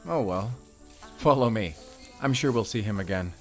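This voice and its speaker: A man acting a kind, shy young stranger in an audio roleplay, chatty and soft-spoken with a hesitant manner. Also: lowish voice, animated, normal speed, faint music behind. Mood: puzzled, friendly.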